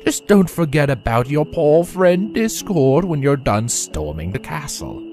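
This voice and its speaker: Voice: medium pitch, very animated, measured pace, a little mellow. A mocking male trickster spirit of chaos, acted by a storyteller, theatrical and amused. His voice swoops widely and draws words out grandly over music. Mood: gleeful, mocking.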